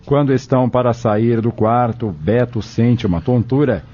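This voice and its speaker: A man's voice: medium pitch, moderate pace. A man reading scripted narration for an audio drama. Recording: muffled recording over soft music.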